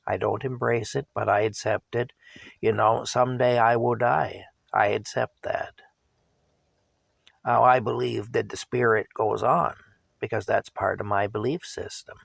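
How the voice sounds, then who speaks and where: A man, likely older, talking earnestly and plainly to his viewers in a candid monologue, his throat going hoarse. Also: lowish voice, no echo. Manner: earnest, plain-spoken, repeats himself for emphasis. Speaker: vlogger.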